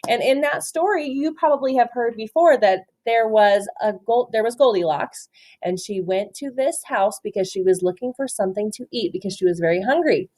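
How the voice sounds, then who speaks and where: A woman doing an interactive picture-book read-aloud for children, expressive and often asking questions. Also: fairly high pitch. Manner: expressive, asks questions and pauses for answers. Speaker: storytime reader, teacher.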